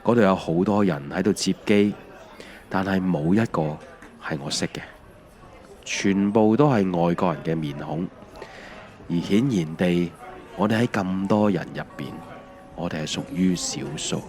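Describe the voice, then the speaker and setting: A middle-aged man with a Hong Kong Cantonese accent, playing a mild husband who narrates an audio drama in a reflective, unhurried way. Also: deep voice, darkish tone. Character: mild, bumbling husband narrating his family's emigration. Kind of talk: scripted first-person narration in an audio drama.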